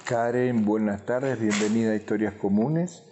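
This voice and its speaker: A man hosting a conversational interview, phrasing his questions in an unhurried, thoughtful way. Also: fairly low pitch, steady tone, music in the background. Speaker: radio interviewer and host.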